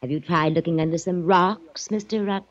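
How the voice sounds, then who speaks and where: An actress playing a scornful, mocking wife in a 1940s radio drama, with a languid, taunting manner. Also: female voice, on the low side, normal speed, close to an everyday voice. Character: catty, scornful young wife. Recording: muffled old radio broadcast.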